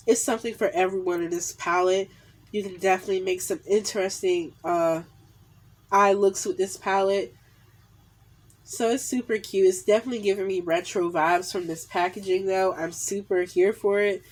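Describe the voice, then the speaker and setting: A woman in her thirties talks to her phone camera in a small room at home, her voice nasal and stuffy from a cold. She chats loosely and warmly, circling back with "um" and "definitely" as she reviews makeup. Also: mid-range voice, steady tone, moderate pace. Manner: chatty, lots of fillers and repeated "definitely". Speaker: beauty vlogger. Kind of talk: casual makeup haul review. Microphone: phone camera at arm's length.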